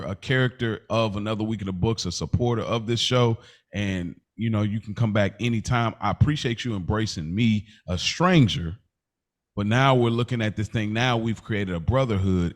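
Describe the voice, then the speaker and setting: A man's voice: fairly low pitch, normal speed. A man co-hosting a podcast, chatting casually in an earnest, slangy way and repeating phrases for emphasis. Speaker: podcast co-host. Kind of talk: casual, heartfelt podcast chat.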